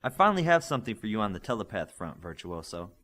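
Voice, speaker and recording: A man voicing a brisk, authoritative male combat coach in an audiobook, to the point. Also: fairly low pitch, sing-song, fairly bright tone. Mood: businesslike.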